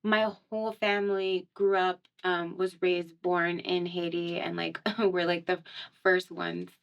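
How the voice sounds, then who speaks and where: A woman's voice: on the low side, level tone, a little slow, clear, resonant tone, highs cut off. A young woman from Miami talks casually in a radio studio, guesting as a singer. Her speech is chatty and meandering, full of fillers, with frequent light laughs. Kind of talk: casual conversational interview.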